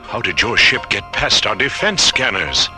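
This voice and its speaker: A man's voice: lowish voice, big pitch swings, normal speed, bright, forceful tone, faint music behind, heavily acted. An actor playing an agitated, embittered male weapons scientist in a science fiction radio drama, urgent and indignant. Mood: agitated, demanding.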